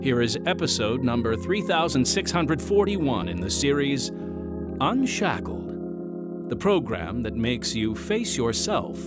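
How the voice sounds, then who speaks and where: Animated male radio announcer. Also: medium pitch, slow. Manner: polished, emphatic announcer delivery. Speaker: announcer and narrator. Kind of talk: scripted radio drama narration. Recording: music under the voice.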